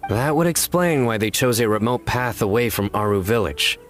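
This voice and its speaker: A man voicing a calm, stern desert village guardian in a measured, serious way for a fantasy game fan dub. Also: mid-range voice, lightly acted.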